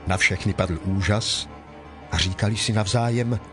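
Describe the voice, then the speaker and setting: A male narrator reads scripture in a formal, measured style. His voice sits a little low. Quiet music plays behind him.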